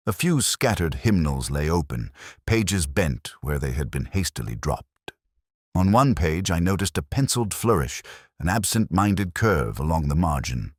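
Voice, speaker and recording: A man narrating a scripted first-person detective story in a measured, solemn, literary manner. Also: a bass voice, breathy edge, dry recording, studio quality.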